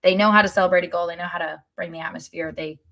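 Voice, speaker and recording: A woman's voice: fairly low pitch, steady tone, racing, a bit bright. An American woman co-hosting a sports podcast, chatting conversationally about the game. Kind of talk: conversational soccer podcast discussion.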